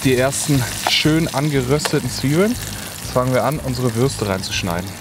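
Young adult male outdoor vlogger, relaxed chatty delivery, camera mic outdoors with clear wind noise. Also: mid-range voice, moderate pace. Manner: relaxed, chatty, many "ja" fillers. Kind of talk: casual cooking vlog narration. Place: outdoors in a windy wood in rain. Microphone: camera mic at arm's length. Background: wind and rain.

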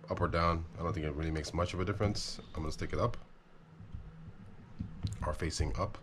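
A man repairing a fishing reel at his workbench, talking casually and thinking out loud as he works. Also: very deep voice, a little fast, a little hiss or hum. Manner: relaxed, thinking aloud, self-deprecating. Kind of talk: casual how-to narration while working. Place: workbench, indoors.

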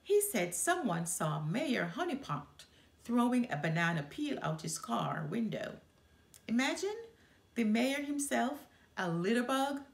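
A woman's voice: on the high side, big pitch swings, a little slow, creaky, exaggerated voice. A woman voicing a chatty grown father bear who loves gossip, in a storybook read-aloud. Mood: eager. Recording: roomy indoor echo.

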